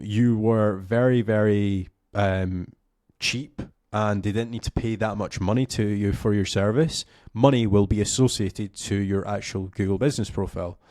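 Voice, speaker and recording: A young man, slightly hoarse with a cough, giving a casual, filler-heavy screen-share tutorial into a close studio mic. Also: on the low side, darkish tone, dry recording. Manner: casual, rambling with many fillers. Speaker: SEO coach.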